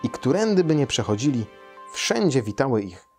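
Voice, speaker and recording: A man's voice: mid-range voice, sing-song, normal speed, a little mellow, soft background music. A man around his late thirties or forties, speaking as a storyteller for children. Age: 30s to 40s. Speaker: storyteller and translator reading his translation. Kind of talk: children's story read aloud. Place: small quiet room indoors, seated in an armchair.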